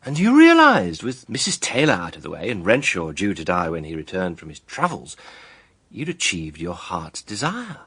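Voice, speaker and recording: Righteous accuser in a radio whodunit, sure of himself, rough-edged voice, very animated pitch. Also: male voice, normal speed, heavily acted. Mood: accusing, certain. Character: righteous, self-assured male accuser laying out a murder case. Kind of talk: radio drama acting.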